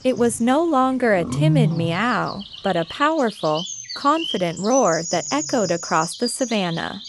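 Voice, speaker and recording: A woman's voice: mid-range voice, very animated, a little slow, noisy background. A woman reading a scripted bedtime story for children as the storyteller. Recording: faint insects and birdsong behind. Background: crickets, birds, nature ambience.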